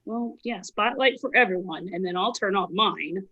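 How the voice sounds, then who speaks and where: Hoarse woman, event host, chatty with lots of ums, mid-pitched voice. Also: moderate pace, pushed and bright, lo-fi sound. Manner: chatty, filler-heavy. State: hoarse, lost voice. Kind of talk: casual chat. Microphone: video call.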